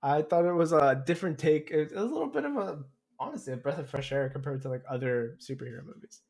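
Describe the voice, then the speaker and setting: A man's voice: fairly high pitch, lively intonation, fast, a little mellow. Rambling young man, casual chat. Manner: rambling, hesitant, hedging. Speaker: podcast co-host.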